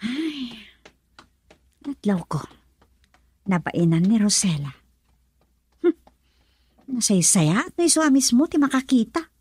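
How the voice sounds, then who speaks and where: A woman playing a fretful, exasperated middle-aged mother in a radio drama, exclaiming and questioning in drawn-out interjections. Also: fairly high pitch, big pitch swings, darkish tone. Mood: dismayed. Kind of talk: melodramatic radio drama acting.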